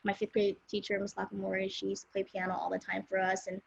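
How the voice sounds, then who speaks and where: A young woman from Los Angeles chats as a guest, her voice heavily creaky with vocal fry. She rambles easily, full of "um" and "like", in a dry, close sound with no room around it. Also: medium pitch, fast talker, no room sound, dull audio. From South Central Los Angeles, USA. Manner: relaxed, rambling, with many fillers like "um" and "like". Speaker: guest singer-songwriter. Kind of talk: casual interview chat.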